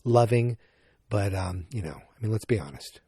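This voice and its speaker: A man's voice: fairly low pitch, animated, normal speed, warm tone, slightly rough, dry recording, studio quality. A man from Massachusetts with a Boston accent, hosting a solo podcast in a rambling style full of restarts and fillers. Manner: rambling and self-interrupting, with frequent fillers. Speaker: podcast host.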